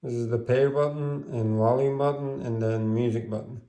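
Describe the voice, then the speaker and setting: A man's voice: medium pitch, fairly even intonation, moderate pace, warm tone. A man giving a casual product demonstration as a reviewer, in plain, step-by-step short phrases.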